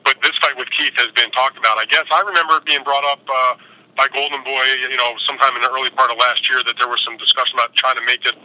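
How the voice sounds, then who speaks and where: A man's voice: mid-range voice, steady tone, fast, bright, forceful tone, dry recording, low-quality recording. A man working as a sports reporter asks wordy, roundabout questions in a conversational way over a telephone conference line. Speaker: boxing reporter asking questions. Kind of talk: press conference call questioning.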